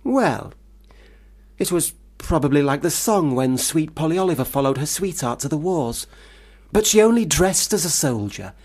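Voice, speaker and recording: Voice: mid-range voice, animated, moderate pace, a little mellow, close to an everyday voice. An actor in a radio play playing a bossy, imaginative young boy who speaks in a pompous, pedantic, declaiming way. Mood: dismissive, assured. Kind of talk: radio drama acting.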